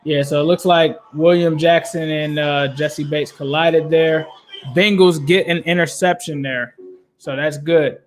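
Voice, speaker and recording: A man's voice: a little high, fairly even intonation, measured pace. A man hosting a fan live stream, giving casual play-by-play commentary and talking conversationally to his viewers. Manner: conversational, addresses viewers and chat.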